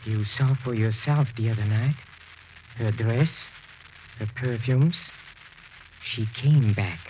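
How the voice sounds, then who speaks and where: An actor playing a grieving, obsessed widower in a 1940s radio mystery, with a halting, melodramatic delivery. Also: male voice, mid-range voice, lightly acted. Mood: dazed, insistent. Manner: halting, melodramatic, swinging from murmur to cry. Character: grieving widower, haunted, obsessed, desperately pleading. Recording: muffled, hissy 1940s radio recording.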